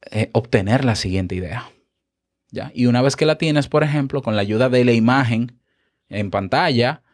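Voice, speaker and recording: A man from the Dominican Republic with a Dominican Spanish accent, hosting a podcast and teaching speaking tips in a casual, conversational way, often checking in with a quick "¿ya?". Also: mid-range voice. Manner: conversational, tag questions like "¿ya?". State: a bit out of breath. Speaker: podcast host. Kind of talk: instructional podcast monologue.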